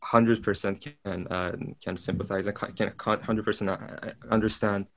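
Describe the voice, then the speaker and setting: A man from Iran, a podcast guest who speaks haltingly, searching for words and restarting often. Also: on the low side, flat delivery. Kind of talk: casual interview talk.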